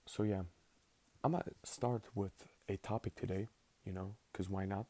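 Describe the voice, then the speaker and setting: A man's voice: deep voice, fairly even intonation, moderate pace, mellow. An eighteen-year-old man for whom English is not his first language, rambling casually through a spoken journal with lots of fillers. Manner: rambling, filler-heavy, laid-back. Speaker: vlogger.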